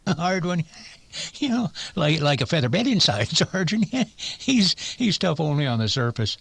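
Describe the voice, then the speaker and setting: A man playing a kindly, excitable frontier doctor in a western radio drama, with a folksy, stammering way of talking. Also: on the high side, moderate pace, creaky, slightly rough, heavily acted. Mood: amused teasing.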